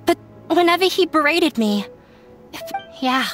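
A woman voicing a gentle, self-doubting young runaway daughter in an anime game, earnest and hesitant. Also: high voice, animated, a little slow. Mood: sad. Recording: music bed behind the voice.

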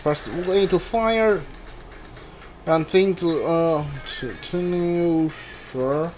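Male gameplay streamer, slightly high voice, casual and hesitant, reading prompts over game music. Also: very slow, a little mellow. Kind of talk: casual playthrough commentary, reading on-screen text aloud.

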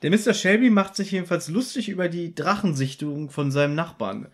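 A man's voice: on the high side, brisk. A man co-hosting a casual podcast, teasing and contradicting as he discusses an audio drama. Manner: teasing, contradicting, acts out quotes. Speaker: podcast co-host.

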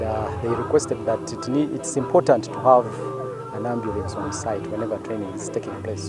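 A middle-aged man, a club chairman, answering press questions outdoors, pausing often. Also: medium pitch. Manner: speaks plainly with frequent "uh" pauses. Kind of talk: press interview. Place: outdoors in front of a sponsor backdrop. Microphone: handheld press mics close up.

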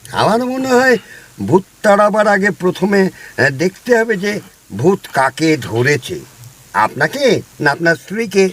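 A man's voice: high voice, big pitch swings, unhurried, a bit bright, heavily acted. A man playing a smug, superstitious busybody neighbour in a comic audio drama, emphatic and knowing in his insinuating advice.

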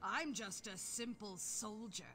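A woman's voice: mid-range voice, unhurried, sharp tone. A woman playing a simple, defensive soldier in a video game. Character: plain-spoken female soldier, defensive under questioning.

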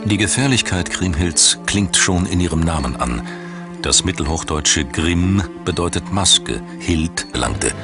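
Male documentary narrator, very deep, measured and dramatic, music bed underneath. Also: lively intonation. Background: background music.

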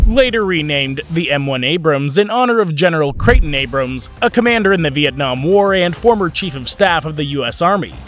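A man giving scripted documentary narration in an even, informative way. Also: fairly high pitch. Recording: voice-over with faint background music.

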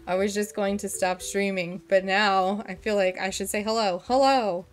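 A woman's voice: medium pitch, fairly even intonation, normal speed, faint music behind. A young woman casually streaming a game and reading its dialogue aloud, while she is losing her voice from illness. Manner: chatty, reads the hero's lines playfully.

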